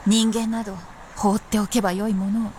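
A woman's voice: medium pitch, little pitch movement, fairly quick, darkish tone, slight background noise, lightly acted. A woman playing an aloof, proud immortal princess in a Japanese period radio drama, with archaic, composed, lordly speech. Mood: wry, unhurried.

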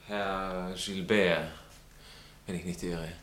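An actor playing an urbane, ironic aristocratic husband with a Viennese accent and a dry, icily polite manner in a 1960s German radio play. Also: male voice, low voice, fairly even intonation, slow, fairly bright tone, a little hiss or hum. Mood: coolly polite.

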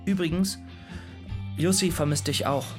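An actor playing a timid, anxious young brainiac, hesitant and pedantic, in a radio drama. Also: male voice, on the high side, unhurried, over music, close to an everyday voice. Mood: hesitant, matter-of-fact. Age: young adult.

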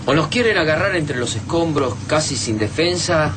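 An actor with an Argentine accent playing a skeptical, brooding professor among resistance fighters in a radio drama. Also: male voice, on the high side, lively intonation, normal speed, background noise, close to an everyday voice. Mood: exasperated.

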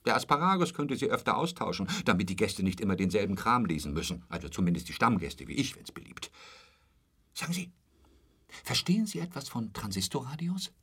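An actor in a radio drama playing a talkative, intrusive, lecherous hotel roommate who boasts and pities himself in a pestering way. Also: male voice, fairly low pitch, sing-song, fairly quick, fairly bright tone, exaggerated voice. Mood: nosy, animated. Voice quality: husky, dropping into whispers. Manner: garrulous, pestering, repeats pet phrases.

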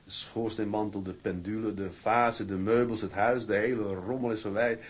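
An actor playing a young newlywed ship's mate who is boastful and stubborn, in a radio play. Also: male voice, fairly low pitch, normal speed, breathy edge. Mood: cheerful. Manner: animated, swings from hushed muttering to loud bluster.

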